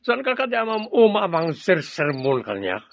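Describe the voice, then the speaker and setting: Casting: dismissive, irresponsible Filipino younger brother, raised pitch, radio drama with faint background noise. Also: male voice, wildly swooping pitch, moderate pace, dull audio, exaggerated voice. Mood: defiant, irritated. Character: defiant, irresponsible hard-drinking younger brother.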